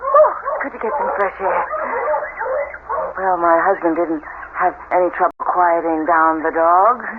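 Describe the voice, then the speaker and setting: An actress playing a sweet, curious and somewhat anxious new bride in an old radio drama, with theatrical delivery. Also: female voice, fairly low pitch, sing-song, a little slow, long pauses, phone-quality sound. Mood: anxious, polite. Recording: thin old radio broadcast, faint noise.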